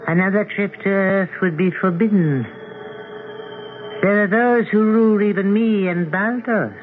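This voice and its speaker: A muffled, hissy old radio broadcast carries an imperious old god. He speaks slowly and formally, in a fairly high male voice, handing down pompous, stern pronouncements in radio-play style. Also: faint music behind. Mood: grave, measured. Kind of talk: 1970s radio drama acting.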